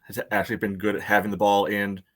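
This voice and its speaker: A man's voice: lowish voice, monotone. A man co-hosting a soccer fan podcast, talking casually with frequent fillers. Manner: quick, bright, lots of fillers. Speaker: podcast co-host. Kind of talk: casual chat.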